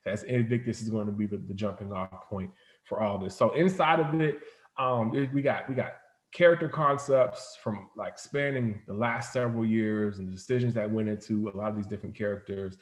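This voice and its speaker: A man's voice: lowish voice, a little fast. Man chatting casually in an echoey room. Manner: informal, rambling storytelling with frequent "like". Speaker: interview guest, comics company founder. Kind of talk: casual interview chat.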